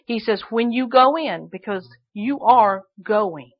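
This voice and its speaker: A woman preaching a sermon with a shrill voice, emphatic and repeating phrases for stress. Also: lively intonation, slow, highs cut off.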